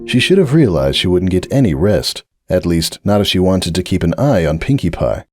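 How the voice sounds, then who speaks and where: A man narrating a dramatic reading of fan fiction in an expressive storytelling manner. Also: low voice, moderate pace, no echo, studio quality, faint music behind. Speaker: narrator, reader.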